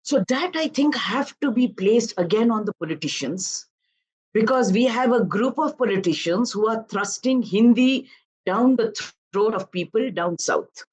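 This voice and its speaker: A woman in her fifties from southern India speaks as an activist guest on a news panel. Her voice sits a little high, and she argues in an earnest, measured way. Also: animated, darkish tone, breathy edge, low-quality recording. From Karnataka, India. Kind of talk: live news panel interview.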